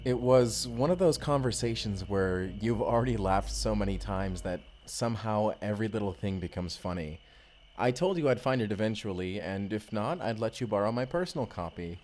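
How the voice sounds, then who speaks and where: A man's voice: fairly low pitch, normal speed, a little mellow, close to an everyday voice. A man playing an earnest, love-struck young husband stranded back in time, speaking tenderly and conversationally in an intimate audio roleplay. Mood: fond, nostalgic. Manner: conversational, tender, chuckling storyteller.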